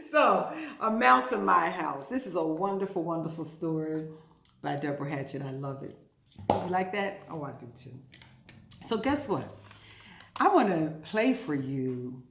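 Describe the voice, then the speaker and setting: A woman hosts storytime for little children in a very animated voice that swings up and down. She is playful and chatty and keeps asking her young listeners questions. The room around her is noticeably echoey. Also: low voice, noisy background.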